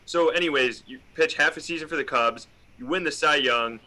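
A man's voice: a little high, fairly quick, pushed and bright. A man co-hosting a sports podcast, asking questions in a casual conversational style. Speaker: podcast co-host.

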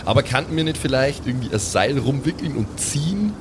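A man's voice: medium pitch, animated, fairly quick. A man chatting casually as a player in an improvised tabletop roleplaying game. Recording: clear ambient noise behind.

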